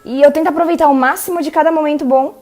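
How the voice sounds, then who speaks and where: A woman's voice: sits high, fairly even intonation, very fast, a little mellow, faint music behind, lightly acted. A woman acting a tired, anxious young patient in an audio drama, answering in hesitant, unsure replies. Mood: weary. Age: teens.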